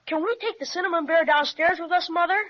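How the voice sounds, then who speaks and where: An actress playing an eager, excitable young boy in a 1930s radio serial. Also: very high voice, quick pace. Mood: earnest. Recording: muffled old radio recording.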